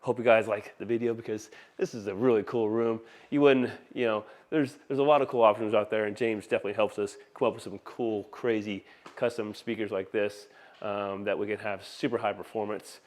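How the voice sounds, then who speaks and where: A man presenting an audio installation in a casual, enthusiastic video walkthrough, talking informally like a salesman while filming by hand in a living room. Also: lowish voice. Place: inside a large open living room. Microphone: handheld phone camera.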